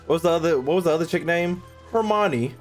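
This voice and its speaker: A man's voice: a little high, quick pace, faint music behind. A man doing casual, slangy, joking live commentary as he streams a game.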